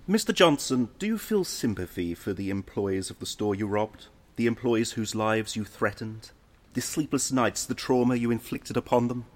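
Man playing a smug, animated prosecuting lawyer. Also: fairly low pitch, normal speed, natural voice. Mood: accusing. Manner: sarcastic, formal, probing. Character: smug, sneering prosecuting lawyer, educated and needling. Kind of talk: audio drama acting.

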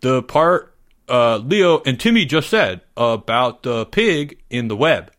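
Storybook schoolboy, played by a male narrator: cocky bluffer, stumbling slang, very lively pitch. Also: takes it slowly. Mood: evasive. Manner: hesitant, stumbling, slangy, overconfident. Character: cocky, dim schoolboy bluffing his way through.

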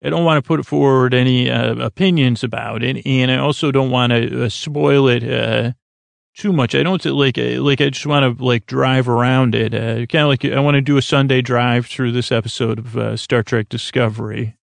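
A man hosting a bedtime podcast in a meandering, halting monologue, often stammering and correcting himself, with a gravelly, creaky voice. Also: mid-range voice, steady tone, dry recording. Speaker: podcast host. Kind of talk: rambling bedtime-story monologue to help listeners sleep.

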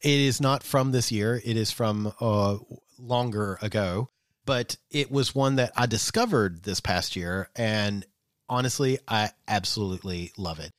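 A man co-hosting a podcast, chatting in a relaxed way, with frequent fillers and the odd swear. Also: fairly low pitch, a little slow, no echo, clean studio sound. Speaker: podcast co-host. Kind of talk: casual chat.

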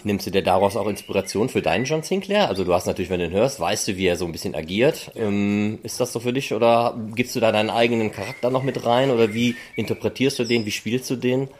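A man's voice: lowish voice, lively intonation, fast talker, few pauses, slight background noise. A man hosting a podcast, asking questions in a casual, conversational way. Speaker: podcast host, interviewer. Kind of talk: casual interview chat.

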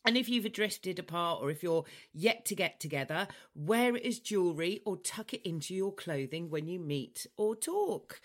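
Female podcast presenter, medium pitch, very lively intonation, playful and chatty, a touch theatrical. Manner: cheeky, theatrical, expressive. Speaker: podcast host.

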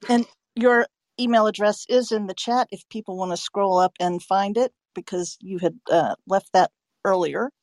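A woman with a medium-pitched voice hosts a live audio chat show. She speaks conversationally, and the recording is dry with no room sound. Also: steady tone, normal speed, darkish tone, no room sound. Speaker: host.

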